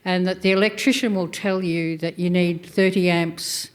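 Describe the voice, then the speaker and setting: Australian woman in the crowd, long winding question, lower-pitched voice. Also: steady tone, moderate pace, clear, resonant tone. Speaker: audience member asking a question. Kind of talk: question from the floor.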